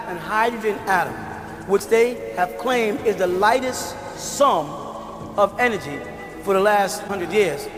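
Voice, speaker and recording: High-voiced man lecturing in an echoey hall. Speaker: spiritual lecturer. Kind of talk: lecture answering a question.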